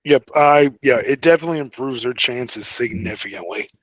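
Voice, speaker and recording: A man with a mid-pitched voice co-hosts a casual fan discussion in a very dry recording. His delivery is informal and opinionated. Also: expressive, brisk, dry recording, poor audio. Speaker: podcast co-host.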